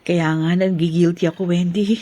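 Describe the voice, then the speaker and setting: An actress playing a worried, guilt-ridden young wife in a Tagalog radio drama, with a Filipino accent. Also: female voice, fairly low pitch, steady tone, normal speed, dark tone, lightly acted. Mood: remorseful.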